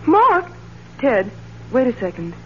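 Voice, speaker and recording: Coolly teasing wife in a vintage radio play, dulled sound with slight noise. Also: female voice, on the high side, huge pitch swings, takes it slowly, fairly bright tone, heavily acted. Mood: puzzled, evasive. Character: smooth, coolly evasive young wife, teasing and affectionate. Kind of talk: 1940s radio acting. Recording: muffled, humming 1940s radio recording.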